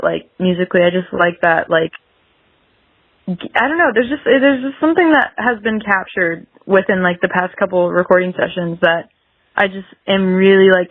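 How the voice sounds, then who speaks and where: A woman's voice: mid-range voice, no echo. Young woman chatting, dull lo-fi sound. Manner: rambling, dense with filler "like". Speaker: podcast guest, singer-songwriter. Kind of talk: casual interview conversation. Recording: dull lo-fi radio-like line.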